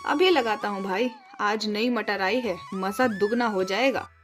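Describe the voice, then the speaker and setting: A woman's voice: mid-range voice, measured pace, faint music behind, close to an everyday voice. A woman voicing a crafty male food-cart vendor in a children's story, casual and self-justifying. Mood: cheerful.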